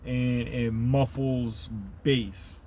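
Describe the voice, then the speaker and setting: A man with a deep, rumbly voice who rambles through a profane, conspiratorial monologue and keeps tagging his points with "right?". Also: level tone, drawn-out words, warm tone, a little hiss or hum. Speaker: channel host.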